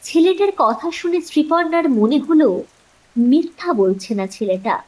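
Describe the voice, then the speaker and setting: A woman narrator with a high, very animated voice. She reads a scripted suspense story in with dramatic, expressive phrasing. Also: normal speed, rough recording.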